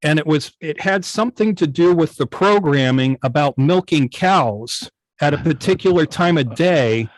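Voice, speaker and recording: A man speaking as an interview guest in an online meeting, telling things informally with hesitant, halting phrasing. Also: fairly high pitch, no echo, low-quality recording. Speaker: guest, old-time radio station operator. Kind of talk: informal interview, anecdotal chat.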